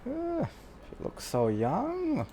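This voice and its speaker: A woman's voice: sits high, wildly swooping pitch, slow, very noisy. A woman streaming a video game, reacting casually to the characters with playful, dry asides. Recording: game music behind the voice.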